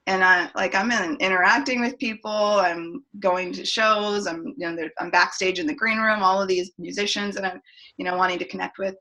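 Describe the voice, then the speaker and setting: A woman's voice: mid-range voice, steady tone, moderate pace, sharp tone, poor audio. A woman, a singer and voice coach, talking as a podcast guest and telling a reflective personal story with many restarts and fillers. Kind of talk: casual podcast interview.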